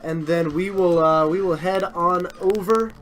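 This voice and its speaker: A man's fairly high voice, strained as he slowly loses it, runs a live card game stream. He chats eagerly, hypes the match and laughs at his own slips. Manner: chatty, excitable, self-deprecating. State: losing his voice. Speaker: stream host and commentator.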